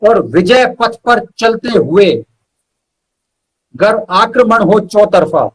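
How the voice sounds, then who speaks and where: High-pitched husky man. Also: expressive, slow, rough recording. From India. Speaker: poet, interview guest. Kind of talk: earnest interview talk and poetry recitation.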